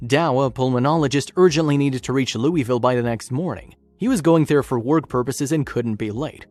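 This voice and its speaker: A male narrator reads a scripted story in documentary style. His voice sits at an average pitch for a man. Music plays behind him. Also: a little fast, darkish tone, no room sound. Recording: music bed under narration. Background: background music.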